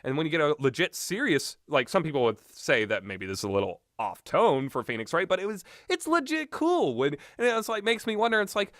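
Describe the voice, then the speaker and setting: A man's voice: a little high, sing-song, fairly quick, hardly any pauses, fairly bright tone, no echo, studio quality. A man giving casual, unscripted game commentary, opinionated and mildly profane, often backtracking on himself as he goes. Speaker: video game reviewer.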